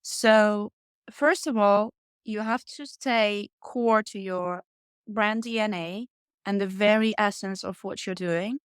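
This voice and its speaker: A woman's voice: medium pitch, slow pace, clean tone, dry recording. Russian-accented woman, dry close-miked voice. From Moscow, Russia. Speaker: podcast guest, hospitality brand consultant. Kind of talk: conversational interview answers.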